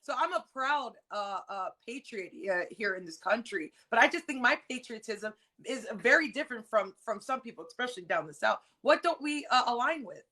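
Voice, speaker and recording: A woman's voice: a little high, lively intonation, a little fast, few pauses, bright, forceful tone. An American woman hosting a live-stream talk show, earnest and conversational. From United States. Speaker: host.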